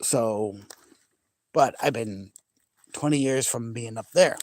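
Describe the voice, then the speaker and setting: A man's voice: mid-range voice, slow pace. A middle-aged man from Maine with a faint Maine accent, chatting casually with viewers outdoors by a lake and rambling with easy laughs. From Maine, New England. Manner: chatty, rambling, laughs easily, frequent restarts. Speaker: vlogger. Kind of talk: casual live chat with viewers. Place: outdoors by a large lake at sunset. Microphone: phone camera held by hand.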